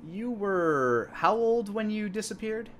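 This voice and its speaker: A man in his thirties improvising narration as dungeon master of a tabletop game, in a halting, conversational way. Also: high-pitched, expressive, fairly bright tone.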